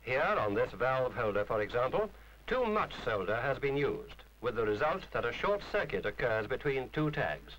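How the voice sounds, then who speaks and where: A man's voice: medium pitch, big pitch swings, moderate pace, a bit bright, low-quality recording. A man reading a scripted instructional film narration in a formal, precise, didactic manner.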